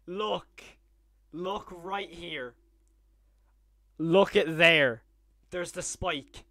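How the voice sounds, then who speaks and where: A man's voice: high voice, very slow, bright, forceful tone, no echo, studio quality. Young man giving casual, enthusiastic reaction commentary. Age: young adult. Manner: enthusiastic, informal, slangy. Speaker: reaction video host. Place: small bedroom studio, indoors. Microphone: close boom-arm microphone.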